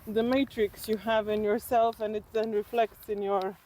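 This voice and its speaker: Female, middle-aged. Informal interview guest, talking on foot outdoors with clear background noise. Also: medium pitch, flat delivery, moderate pace, mellow. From Slovenia. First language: Slovenian. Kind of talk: casual reflective chat while walking. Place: outdoors on a walking trail.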